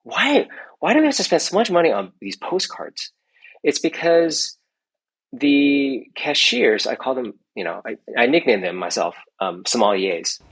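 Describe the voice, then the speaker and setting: A man's voice: medium pitch, big pitch swings, measured pace, a bit bright, slightly rough, poor audio. A middle-aged man, an entrepreneur guest in a casual interview, rambling with many restarts and tag questions. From United States.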